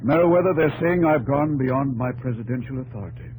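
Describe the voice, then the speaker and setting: Actor as grave, resolute president, noisy old radio. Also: male voice, medium pitch, animated, darkish tone, natural voice. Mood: troubled, weary. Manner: deliberate, emphatic, formal. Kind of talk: 1950s radio drama acting.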